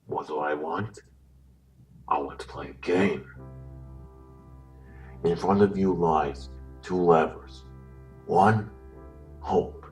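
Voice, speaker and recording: A man playing a menacing puppet mastermind in a horror audio drama, slow, deliberate, formal and coldly moralizing. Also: low-pitched. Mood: calm taunting. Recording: distant voice under loud music.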